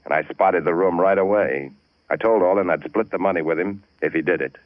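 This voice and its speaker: An actor playing a cold, cynical, scheming young husband in 1940s radio drama style. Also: male voice, sits low, a little fast, no room sound, natural voice. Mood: matter-of-fact confession. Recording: tinny, band-limited old radio recording.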